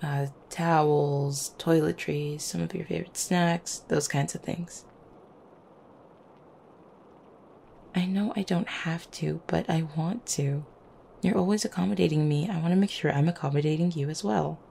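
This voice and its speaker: Low, creaky-voiced affectionate girlfriend played by a woman. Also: steady tone, moderate pace, a little hiss or hum. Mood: earnest, tender. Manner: soft-hearted, teasing, hesitant little stammers and chuckles. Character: affectionate, playful, gently teasing young vampire girlfriend. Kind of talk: intimate ASMR girlfriend roleplay, acted as casual chat.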